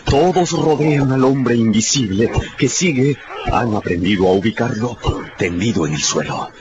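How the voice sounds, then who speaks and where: A man's voice: mid-range voice, lively intonation, moderate pace, background noise. A man giving theatrical, emphatic scripted narration for a radio drama. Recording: muffled, under prominent music. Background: dramatic music.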